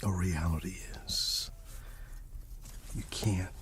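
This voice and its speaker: Low whispering man, ASMR pep talk. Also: fairly even intonation, takes it slowly, slight background noise. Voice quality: breathy whisper mixed with soft low voice. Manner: rambling, repetitive, encouraging. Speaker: ASMR channel host. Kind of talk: whispered ASMR motivational monologue.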